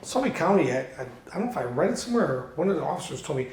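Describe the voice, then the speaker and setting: Mellow-voiced host with a roomy echo. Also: male voice, fairly high pitch, big pitch swings, rapid-fire, a little hiss or hum. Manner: profane, laid-back questioning. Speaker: podcast host. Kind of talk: casual interview conversation. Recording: echoey room.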